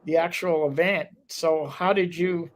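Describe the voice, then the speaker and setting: A man's voice: fairly high pitch, steady tone, measured pace. A man hosting a local talk show, chatting casually with a guest and rambling with lots of fillers.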